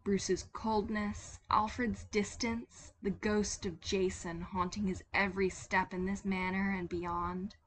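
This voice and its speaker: Woman, mid-pitched, fiction audiobook-style narrator, dramatic scripted reading, faint background noise. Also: steady tone, takes it slowly, few pauses, rough recording. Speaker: podfic narrator.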